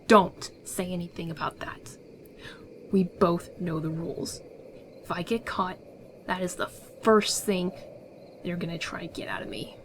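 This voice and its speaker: A woman's voice: fairly low pitch, fairly even intonation, a little slow, long pauses, close to an everyday voice. A woman playing a confident, secretive smuggler in a fantasy ASMR roleplay, with an assured, persuasive, theatrical delivery. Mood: alarmed, hushed. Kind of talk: ASMR audio roleplay acting.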